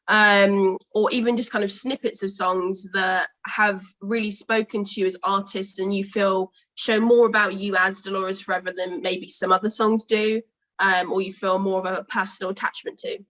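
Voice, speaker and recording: Bright-voiced british girl asking rambly chatty questions, interviewer vibe. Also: mid-range voice, steady tone, moderate pace.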